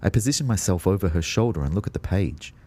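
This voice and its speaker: A man's voice: low-pitched, animated, fast, dark tone. An Australian actor playing a confused, exasperated ghost of a man who narrates his own story with wry disbelief. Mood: wry, puzzled. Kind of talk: first-person audio drama narration.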